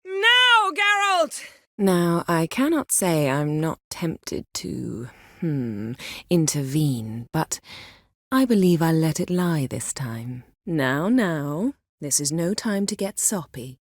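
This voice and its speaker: A woman voicing a proud, sharp-tongued sorceress in a video game, speaking in a cool, measured, sardonic and commanding manner. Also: low voice, very animated, no echo, clean studio sound, heavily acted. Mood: urgent, shouting, then dry, sardonic.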